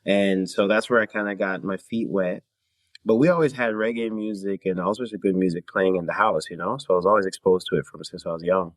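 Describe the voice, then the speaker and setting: Man, from Canada, very low voice, relaxed, casual interview guest. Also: a little fast. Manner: relaxed, laid-back, many fillers and little laughs. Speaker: guest musician.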